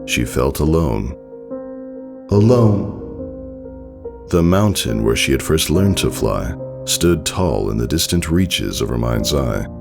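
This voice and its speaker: Very deep, gravelly male story narrator. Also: animated, slow pace. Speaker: fan fiction narrator. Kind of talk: dramatic scripted story narration. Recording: soft music behind the voice.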